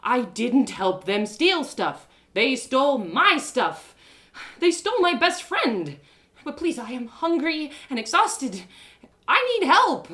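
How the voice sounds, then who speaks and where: A woman's voice: high voice, sing-song, slow, a bit bright, pitched above the performer's own voice, full character voice. A woman voicing an exhausted, indignant young woman adventurer in a story, stammering and pleading. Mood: desperate pleading.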